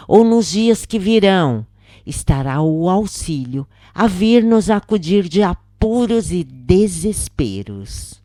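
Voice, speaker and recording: A woman with a Brazilian accent reading a scripted first-person spiritual account in a solemn, measured manner, with deliberate pauses. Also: low voice, mellow, no echo, studio quality.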